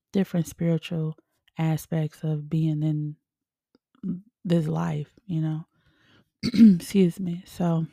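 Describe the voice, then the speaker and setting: A woman's voice: on the low side, creaky, warm tone, no echo. A woman hosting her podcast in a casual, chatty way, a bit congested from a sinus infection and laughing often. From Chicago, USA. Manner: chatty, laughs often, calls listeners honey and y'all. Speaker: podcast host. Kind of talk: casual chat.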